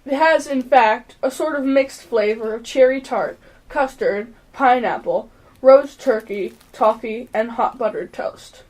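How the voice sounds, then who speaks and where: A woman's voice: on the high side, unhurried, darkish tone, low-quality recording. A young female performer playing a radio announcer who describes the action as it happens, in an amateur play. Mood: narrating. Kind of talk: amateur radio play narration in present tense.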